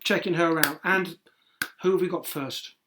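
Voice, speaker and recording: A man chatting casually as a show co-host, with a blunt, deadpan, teasing manner. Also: fairly high pitch, moderate pace, crowd noise. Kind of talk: casual unscripted co-hosting chat.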